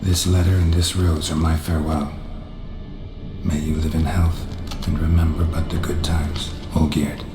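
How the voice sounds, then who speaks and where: Grave game adventurer: very deep, restrained, echoey, music underneath. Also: male voice, little pitch movement, moderate pace. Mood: solemn. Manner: low-key, solemn. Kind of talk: dramatic video game acting. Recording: echoey, with clear music behind.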